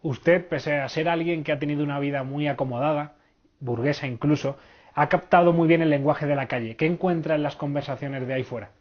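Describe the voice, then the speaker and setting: A man interviewing a guest on TV, asking measured, formal questions. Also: fairly high pitch, muffled recording.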